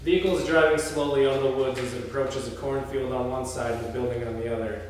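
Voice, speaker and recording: A man narrates a screenplay read-through, giving scene headings and action. His mid-pitched voice is far from the mic in a room with long, heavy echo. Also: fairly even intonation, fast. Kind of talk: reading screenplay directions aloud. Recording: echoey hall from far off, faint noise.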